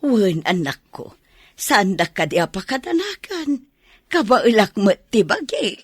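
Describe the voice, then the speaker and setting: A woman plays a bashful mother of about fifty in a radio drama. She has a husky voice with lively swings in pitch and an Ilocano accent, recorded dry. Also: measured pace, no echo. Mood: warm, hesitant. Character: widowed mother around fifty, bashful about new romance.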